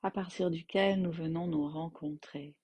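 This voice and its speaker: A woman guiding a yoga nidra relaxation as its teacher, in gentle, unhurried phrases with long pauses. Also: sits low, fairly even intonation, slightly rough, no echo. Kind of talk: guided meditation, slow soothing instruction.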